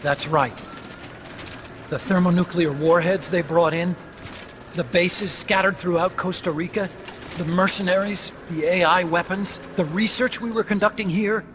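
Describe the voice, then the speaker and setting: An actor playing a talkative, earnest male weapons scientist in a video game cutscene, explaining things intently with dramatic pauses. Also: sits high, fairly even intonation, a bit bright, slight background noise. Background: music.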